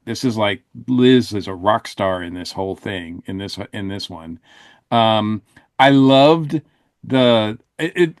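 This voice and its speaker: A man's voice: mid-range voice, no room sound. Male podcast panelist, mid-range voice, dry sound; casual, enthusiastic, rambling reviewer.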